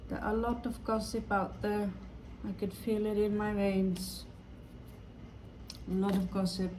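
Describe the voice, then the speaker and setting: A woman from Norway with a Norwegian accent, giving an improvised tarot reading in a halting way, pausing and repeating her phrases. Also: medium pitch, little pitch movement, a little mellow, a little hiss or hum. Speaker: tarot reader.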